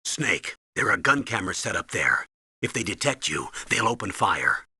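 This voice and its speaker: An actor playing a stern older military commander, giving authoritative, urgent briefings in a video game with a husky voice. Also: male voice, fairly low pitch, big pitch swings, normal speed, pushed and bright, no room sound, studio quality, heavily acted. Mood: cautioning. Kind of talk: video game voice acting, tutorial instructions.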